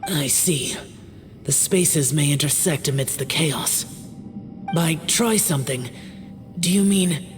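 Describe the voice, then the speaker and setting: Slow, brooding immortal warrior, voiced by an actor. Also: medium pitch, lightly acted. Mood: stoic, reserved. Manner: grave, reserved, halting. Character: stoic, brooding male immortal warrior, terse and solitary. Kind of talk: English video game voice acting. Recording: music behind the voice. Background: game music.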